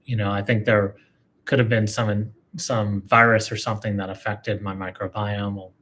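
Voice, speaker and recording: A man, a physician host, talking casually about health, relaxed and hedging with frequent fillers. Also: on the low side, moderate pace. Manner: relaxed, hedging with "you know". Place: livestream conversation.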